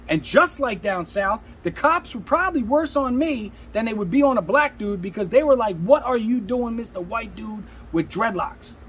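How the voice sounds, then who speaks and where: A man's voice: very high voice, fairly bright tone, breathy edge, poor audio. A middle-aged man, a rapper telling career stories in a casual, slangy, profane way, repeating phrases for emphasis. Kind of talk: casual storytelling chat.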